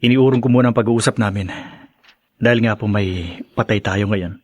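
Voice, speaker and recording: A man's voice: lowish voice, normal speed, no room sound, highs cut off, lightly acted. A man playing a dutiful, respectful adult son in a radio drama, with a Filipino accent. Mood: subdued, respectful. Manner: polite, deferential.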